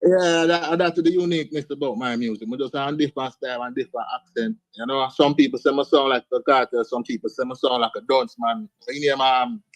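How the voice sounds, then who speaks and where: A man's voice: mid-range voice, steady tone, brisk, hardly any pauses, dry recording, low-quality recording. A man with an Antiguan accent, talking casually as a guest artist in an interview, often ending lines with "man" and "you understand". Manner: laid-back, sprinkles speech with "man" and "you understand". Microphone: video call.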